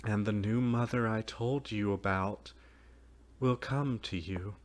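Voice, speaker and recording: A man voicing a heartbroken mother who speaks sadly and gently to her children. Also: fairly low pitch, slow pace. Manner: sad, gentle.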